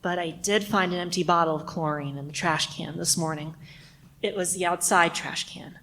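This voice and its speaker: Lady acting jittery dim janitor in a radio mystery, stammers a lot, lowish bright voice, bit of noise. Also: fairly even intonation, moderate pace. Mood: nervous. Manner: flustered, stammering. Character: nervous, slow-witted, apologetic station janitor. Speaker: actor in a radio play. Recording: noticeable background noise.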